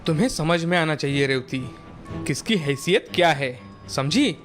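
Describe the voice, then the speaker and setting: A man playing a stern young husband, protective of his mother, in an animated moral story. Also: a little high, normal speed, soft background music. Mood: scolding.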